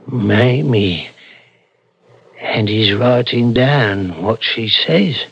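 An actor playing a troubled, defensive murder suspect in a 1960s radio drama, hesitant and haunted. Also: male voice, medium pitch, very slow, gravelly, a little hiss or hum. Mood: uneasy. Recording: dull vintage radio broadcast, music underneath.

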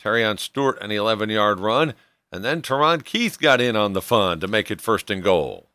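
A man hosts a sports recap show in scripted narration. His voice sits a little low and rings bright and forceful, recorded close and dry as he walks through the game. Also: lively intonation, moderate pace, no echo.

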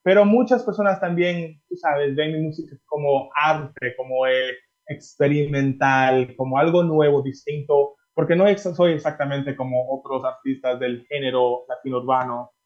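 A man's voice: a little high, fairly even intonation, moderate pace. Dominican-born man, chatty, muffled sound. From Dominican Republic. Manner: chatty, many fillers and restarts. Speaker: singer guest. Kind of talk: interview answers.

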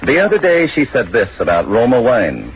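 Animated male 1940s radio pitchman. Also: fairly quick. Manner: polished, emphatic salesmanship. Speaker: commercial announcer. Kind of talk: scripted 1940s radio advertisement. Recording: muffled 1940s radio recording with faint noise.

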